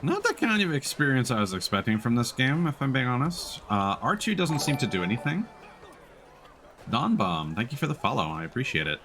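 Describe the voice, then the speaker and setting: A man's voice: medium pitch, very animated, brisk, fairly bright tone, a little hiss or hum. A man doing casual live game commentary, musing and thinking aloud as he plays. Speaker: game streamer. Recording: faint background game ambience. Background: game ambience.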